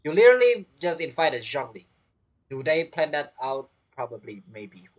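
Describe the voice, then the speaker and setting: A man's voice: fairly high pitch, big pitch swings, moderate pace. A man giving casual gameplay commentary as a streamer, reacting briefly to the dialogue.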